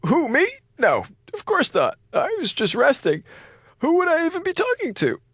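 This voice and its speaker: An actor in a radio drama playing a sick, captive space station officer, sarcastic, rambling and frantic. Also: male voice, extremely high pitch, wildly swooping pitch, normal speed, a bit bright, no echo, clean studio sound, exaggerated voice. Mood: nervous bluffing. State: feverish, coughing.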